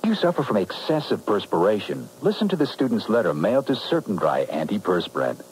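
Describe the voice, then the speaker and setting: A man's voice: on the low side, big pitch swings, brisk, a little mellow, slight background noise, rough recording. A man reading a scripted product advertisement for radio. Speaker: advertisement announcer.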